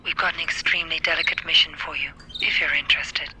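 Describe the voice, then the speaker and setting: Over a noisy, clicking radio link comes a composed female handler in a video game. She briefs in a crisp, businesslike manner. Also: sits low, level tone, fast. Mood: composed, cordial. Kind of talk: cinematic game cutscene acting.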